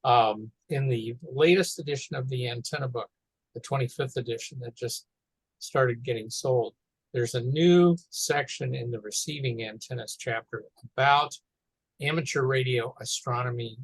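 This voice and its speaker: A man commenting casually on a teleconference, talking in a halting, rambling way. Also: medium pitch, slightly rough, poor audio. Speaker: meeting participant.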